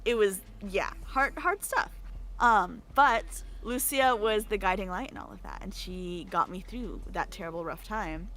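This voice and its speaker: A woman's voice: medium pitch, measured pace. A young woman in a casual livestream chat, telling stories in a jokey, giggly manner. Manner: animated, giggly, jokey storytelling with acted quotes. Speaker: voice actor, livestream guest.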